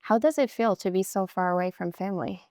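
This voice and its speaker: A young woman hosting a sports interview, asking warm, admiring questions in a lively way. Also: mid-range voice, fast, no echo, studio quality. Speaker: interview host.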